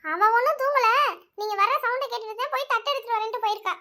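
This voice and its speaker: A woman's voice: very high-pitched, rapid-fire, sharp tone. A woman voicing a practical mother who scolds and nags her children. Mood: explaining. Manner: scolding, nagging.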